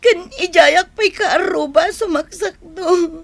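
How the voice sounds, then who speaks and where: A woman playing a distraught, complaining young wife in a radio drama, with a shrill, tearful voice and a Filipino accent. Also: slow pace, gravelly, no echo, full character voice. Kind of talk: Ilocano radio drama acting.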